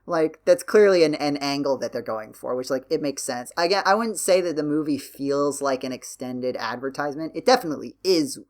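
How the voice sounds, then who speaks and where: A man hosting a casual podcast discussion, talking in a halting way and circling back as he thinks aloud. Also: a little high. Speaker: podcast host.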